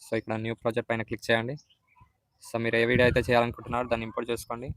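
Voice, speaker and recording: A man's voice: mid-range voice, completely flat delivery, racing, a bit bright, dry recording. A man with a Telugu Indian accent giving a step-by-step app tutorial voice-over as the host. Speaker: video editing tutorial host.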